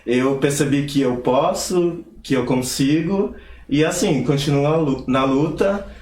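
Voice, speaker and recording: A young man from Pará, Brazil, speaking earnestly as an interview guest with measured hesitations. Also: on the high side, a little mellow, reverberant. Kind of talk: conversational interview.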